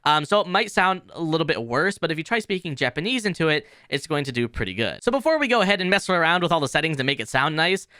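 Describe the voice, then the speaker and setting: A man giving a casual software tutorial in an informal, explanatory way. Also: a little high, sing-song, fast, hardly any pauses, clean tone, no echo, clean studio sound. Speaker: tutorial host.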